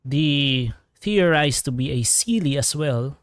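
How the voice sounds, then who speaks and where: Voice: mid-range voice, animated, slow, no room sound, studio quality. A streamer giving casual, rambling commentary while playing a story game. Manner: chatty, rambling fan theorizing. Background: game music.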